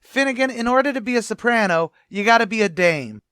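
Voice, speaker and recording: A man's voice: extremely high pitch, lively intonation, a little fast, bright, forceful tone, clean tone, dry recording, clean studio sound, heavily acted. A man playing a wisecracking tavern manager in an old-time radio comedy, talking in brash, ungrammatical tough-guy patter. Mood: sarcastic. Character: wisecracking middle-aged male tavern manager.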